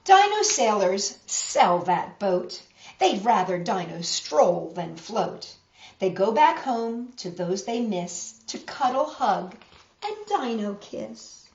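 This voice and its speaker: A woman reading a picture book aloud to children in a measured, expressive way, with emphasized rhymes and friendly asides. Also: mid-range voice. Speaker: storytime host reading picture books. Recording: roomy echo, slightly dull sound.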